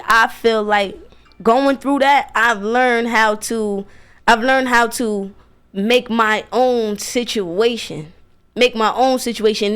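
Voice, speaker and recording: A young American woman with a smooth, clear voice that sits a little high. She talks like a radio DJ in a casual interview, sure of herself and emphatic, often repeating a phrase to drive it home. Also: fairly bright tone. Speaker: radio DJ and show host.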